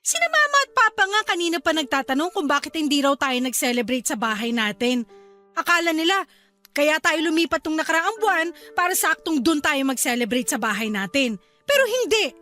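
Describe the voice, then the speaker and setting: A high, girlish-pitched young Filipino wife in a radio drama. She is resentful and sulky, swinging between terse replies and wounded pleading, with faint music underneath. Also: sing-song, brisk, bright, forceful tone, exaggerated voice. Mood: tearful, hurt.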